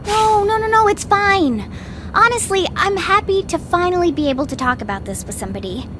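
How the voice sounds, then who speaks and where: A woman playing an excitable, romantic young woman in an anime game dub, with a gushing, dramatic way of talking. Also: extremely high pitch, brisk, slight background noise. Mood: wistful, open.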